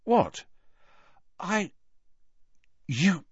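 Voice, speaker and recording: A man's voice: high-pitched, very animated, very slow, husky, no echo, muffled recording, pitched above the performer's own voice, exaggerated voice. A man voicing a moody, nervous teenage schoolboy with a blunt, grumbling manner in a storybook reading. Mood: startled, excited.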